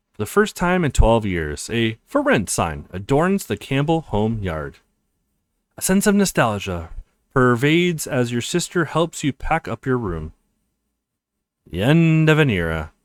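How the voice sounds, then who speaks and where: A man's voice: medium pitch, no echo. A man hosting a let's play, talking to viewers and reading visual novel text aloud as narration. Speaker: let's play video host.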